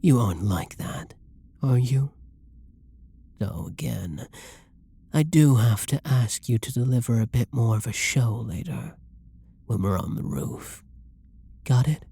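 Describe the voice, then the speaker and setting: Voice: mid-range voice, expressive, measured pace, vocal fry, long pauses, mellow, breathy edge, exaggerated voice. An actor voicing a flirty, possessive villain who menaces and teases a hostage in an ASMR roleplay, domineering and often dropping into whispers. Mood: teasing, commanding. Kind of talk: roleplay ASMR audio drama monologue.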